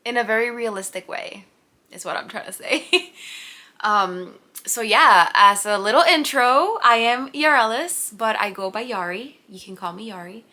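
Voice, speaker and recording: Bright chatty twenty-something woman from puerto rico, spanish first language, rambling vlog talk at home. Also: fairly high pitch, normal speed. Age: 20s. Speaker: vlogger. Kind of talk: casual unscripted talk to camera. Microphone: camera mic.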